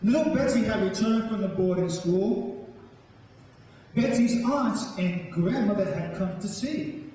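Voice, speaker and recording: A man speaking as a poet and storyteller to a live audience, with a deliberate, dramatic delivery. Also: high-pitched, animated, warm tone. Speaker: spoken word poet and storyteller. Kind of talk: dramatic poetry reading and talk to a live audience. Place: large echoing room with a live audience. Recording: echoey hall, distant mic, faint noise.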